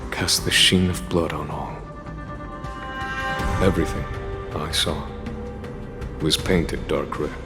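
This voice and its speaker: An actor playing a long-lived veteran general in a video game, calm, grave and courteous, speaking in measured, formal phrases. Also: male voice, very deep voice. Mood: grave, reflective. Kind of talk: scripted game dialogue, solemn recounting. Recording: long reverb, game music underneath.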